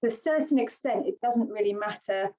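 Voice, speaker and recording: Earnest, animated woman on a podcast. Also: fairly high pitch, a little fast. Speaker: guest, village councillor. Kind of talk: casual podcast conversation. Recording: slightly dull lo-fi sound.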